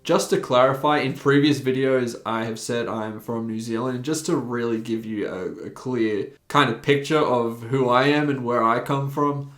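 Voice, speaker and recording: Young Australian man, mid-pitched, eager film-review monologue, echoey room. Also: moderate pace, few pauses. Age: 20s. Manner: enthusiastic, animated talker.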